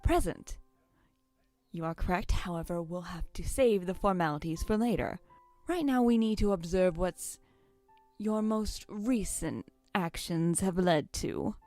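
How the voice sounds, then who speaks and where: Woman acting a low, stern Christmas ghost. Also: unhurried, a little mellow, no room sound, faint music behind, natural voice. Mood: commanding. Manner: formal, commanding, scolding. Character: stern, sharp-tongued female Ghost of Christmas Present. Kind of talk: fan audio drama acting.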